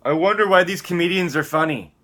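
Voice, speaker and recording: A man's voice: high voice, brisk, bright, forceful tone. A man on a rambling solo vlog rant, profane and combative, swinging between muttering and outbursts. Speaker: vlogger.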